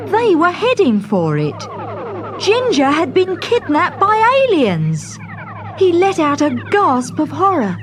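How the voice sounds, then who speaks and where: A woman storyteller reading for children with a lively, slightly high voice. She takes her time and narrates with dramatic expression, with music behind her.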